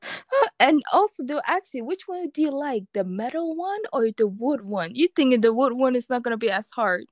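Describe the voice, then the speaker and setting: A woman co-hosting a casual chat, telling blunt, animated stories. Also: high voice, moderate pace, no room sound, studio quality. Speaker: podcast co-host.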